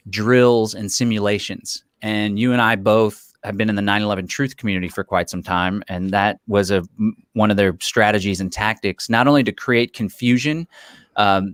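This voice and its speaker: A man's voice: on the low side, no echo, studio quality. A man hosting a live-stream interview, explaining his opinions at length. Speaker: interview host.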